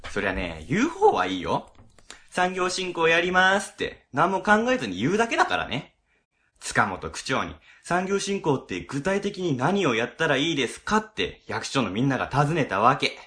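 Man playing a harried, animated, bright-voiced section chief. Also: a little high, normal speed, full character voice. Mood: exasperated. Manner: casual, exasperated, explanatory. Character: harried, grumbling, easygoing section chief at a ward office. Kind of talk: amateur web radio drama acting.

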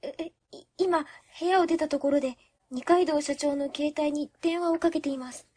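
A woman's voice: high voice, fairly even intonation, moderate pace, warm tone, natural voice. A woman playing a timid, polite young office worker who also narrates a radio drama, meek and hesitant in manner. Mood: nervous. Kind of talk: web radio drama acting.

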